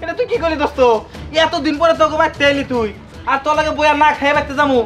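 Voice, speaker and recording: An actor playing a warm-hearted, eager village friend in a drama, with a rural Bangladeshi accent. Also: male voice, very high-pitched, steady tone, rapid-fire, faint music behind. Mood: joyful, eager. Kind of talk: Bangla short-film drama acting.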